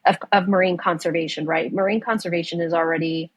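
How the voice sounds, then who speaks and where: A woman whose first language is Spanish talks about her work with eager enthusiasm, often restarting her sentences and filling pauses with "um." Her voice is lowish and husky, and it sounds close and dry with no room around it. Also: steady tone, no room sound. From United States. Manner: enthusiastic, many fillers and restarts. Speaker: ocean conservation director, guest. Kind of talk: conversational interview.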